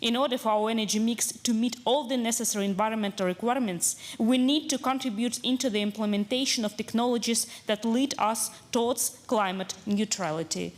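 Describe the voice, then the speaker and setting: A woman's voice: fairly high pitch, a little fast, hardly any pauses. A woman delegate giving a prepared conference speech in a formal, read-out way. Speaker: parliamentary delegate.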